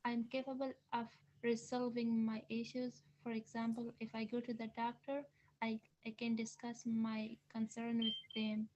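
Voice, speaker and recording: A woman's voice: a little high, completely flat delivery, moderate pace, a little mellow, lo-fi sound. A woman from Afghanistan, not a native English speaker, reading a prepared public comment carefully and earnestly over a remote call. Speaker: public commenter, adult school student. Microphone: remote call audio.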